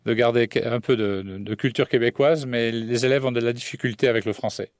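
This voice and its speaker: A middle-aged man from southern France, a poet, talks on a radio show in an ordinary mid-range voice. He hesitates, restarts and laughs softly. The studio sound is dry and close. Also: medium pitch, fairly even intonation, fairly quick, no room sound. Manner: hesitant, many fillers and restarts, soft laughs. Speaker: poet and French teacher. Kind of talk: radio interview. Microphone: studio mic.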